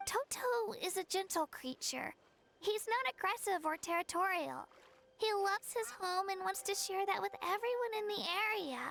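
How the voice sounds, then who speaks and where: A young girl in a video game, with a very high voice that has some creak in it. She is gentle and earnest, encouraging others in a soft-hearted, slightly hesitant way. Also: heavily acted.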